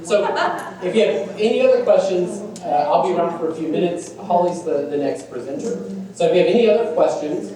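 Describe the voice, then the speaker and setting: A man's voice: high-pitched, expressive, brisk, dark tone. Man presenting in an echoey hall. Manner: casual, conversational, many fillers. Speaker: conference presenter. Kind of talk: presenting a workshop session. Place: large echoey conference room. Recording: echoey room, distant mic.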